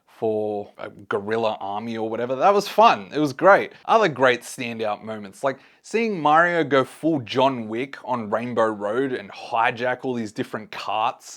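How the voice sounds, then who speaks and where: A man hosting a casual movie review, speaking conversationally and giving his opinions to his viewers. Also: mid-range voice. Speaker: host, film reviewer.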